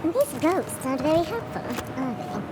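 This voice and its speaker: An actress playing a wry, wary adventuring companion in a fantasy game, with casual, dry, conversational delivery. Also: female voice, high-pitched, very animated, normal speed, background noise. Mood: wry, musing.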